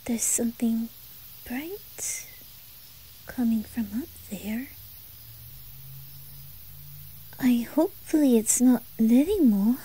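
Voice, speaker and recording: A woman voicing a shy, curious nine-year-old boy in an ASMR roleplay, with an attempted Swedish accent and a soft, often whispered, hesitant delivery. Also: on the high side, animated, slight background noise, rough recording. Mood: nervous, shy. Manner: hesitant, stammering, slow.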